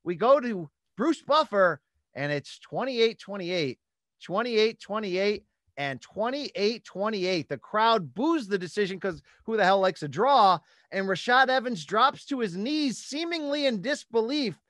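A man with a high-pitched voice hosts sports talk from a small studio. His delivery is emphatic and animated, and the close microphone gives a very dry sound with little room in it. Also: dry recording. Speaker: podcast host. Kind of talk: sports talk recap and interview. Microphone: close studio microphone on boom arm.

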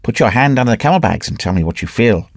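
A man's voice: medium pitch, animated, fast, vocal fry, no echo, clean studio sound. A man voicing a cheerful, boastful male adventurer who speaks placidly. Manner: placid, pleased with himself.

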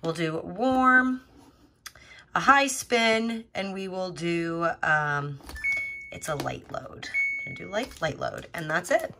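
A woman's voice: fairly low pitch, expressive, slow, background noise. A woman in her thirties talking casually through a how-to vlog, informal and thinking aloud as she goes. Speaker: vlogger.

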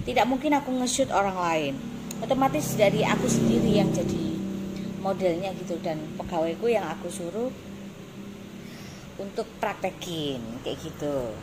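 A woman's voice: medium pitch, lively intonation, moderate pace. A woman in her thirties with an East Javanese accent, talking casually to camera in an emphatic, blunt, repetitive explaining way. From Indonesia. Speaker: beauty salon owner and course teacher. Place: small indoor salon office. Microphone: phone at arm's length. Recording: noisy phone recording with room ambience.

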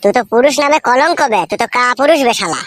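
Man doing a squeaky, fast-scolding cartoon buddy. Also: animated, fairly bright tone, dry recording, studio quality. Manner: foul-mouthed, hectoring. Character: scolding, exasperated young male friend. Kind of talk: crude comedy cartoon dialogue.

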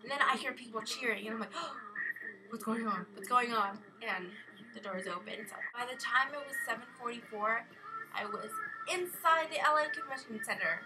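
Young american girl vlogging in her bedroom, kinda high voice, rambly and expressive, chatty storytelling. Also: normal speed, rough recording. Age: young adult. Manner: animated, expressive, acts out exchanges. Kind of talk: rambling casual vlog storytelling. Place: bedroom at home. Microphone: built-in camera or webcam mic at arm's length.

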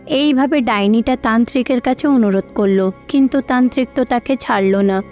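Woman narrator, high pitch, scripted cartoon storytelling, music underneath. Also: fairly quick, a little mellow. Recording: slightly dull audio with music behind.

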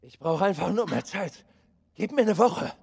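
A man's voice: high-pitched, animated, moderate pace, gravelly, a little mellow, slightly rough, heavily acted. An actor playing a beaten, cornered male arms dealer in a video game, pleading and whining in pain. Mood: desperate, pained. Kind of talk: dramatic video game acting, German dub.

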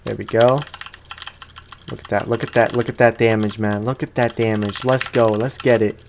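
A young man giving casual, slangy let's play commentary with animated, playfully exasperated reactions, as a gaming YouTuber. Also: on the low side, normal speed, slight background noise. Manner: slangy, informal.

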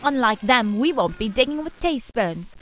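A woman's voice: on the high side, animated, moderate pace, a bit bright. A woman voicing a greedy, bossy female pirate captain who talks in a dismissive, commanding way. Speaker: puppet show character. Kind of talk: amateur puppet show voice acting.

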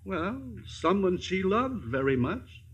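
A man's voice: sits high, sing-song, slow, slightly rough, exaggerated voice, rough recording. A man playing a grandiloquent, kindly American fortune-telling humbug, with theatrical bluster in a 1950s radio drama. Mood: mysterious. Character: showy, kindly male travelling fortune-teller and humbug.

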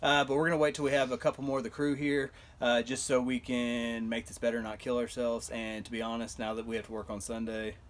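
A man's voice: mid-range voice, completely flat delivery, quick pace, few pauses. A man, chatting casually and jokily to camera as he hosts a rambling unboxing vlog. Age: 30s. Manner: rambling, folksy, jokey. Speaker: host of storage unit unboxing show. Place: storage unit and truck, open doors. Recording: noisy camera audio with vehicle sounds.

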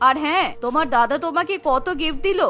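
A scornful middle-aged mother-in-law from a cartoon, acted out by a woman. Her voice is bright and cutting, and she speaks in a domineering, taunting way. Faint music plays underneath. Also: sits high, fast talker, pitched below the performer's own voice. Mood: mocking. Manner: bossy, taunting.

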